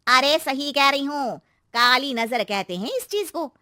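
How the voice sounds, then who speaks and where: A woman acts out an older neighbourhood auntie from a storybook, knowing and superstitious. Her voice sits fairly high and dry, and she leans on her words with slow, ominous emphasis. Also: lively intonation, bright, forceful tone, no room sound, exaggerated voice. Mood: ominous warning.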